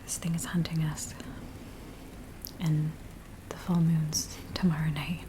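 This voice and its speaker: A woman playing a frightened villager in a soft, breathy, whispered ASMR roleplay, speaking in a hushed, hesitant way. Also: deep voice. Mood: fearful. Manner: hushed, hesitant, slow storytelling. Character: frightened village woman pleading with a werewolf tracker. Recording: steady rain-like background noise. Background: rain sounds.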